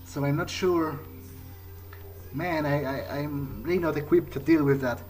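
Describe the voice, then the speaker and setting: A man playing a slow, hesitant, bewildered young vampire. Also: a little high, a little mellow, soft background music, close to an everyday voice. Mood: pensive, unsure. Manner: halting, hesitant, searching for words. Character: bewildered newly turned vampire, former programmer, musing earnestly. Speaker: video game player speaking in character. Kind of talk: in-character thinking aloud during a playthrough.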